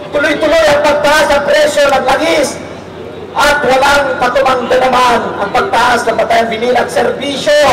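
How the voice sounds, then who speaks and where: A man with a Filipino accent making an impassioned, emphatic political speech as a protest rally speaker. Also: extremely high pitch. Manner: emphatic, declamatory, often shouting. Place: outdoor street rally. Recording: echoey, distant outdoor loudspeaker, loud crowd.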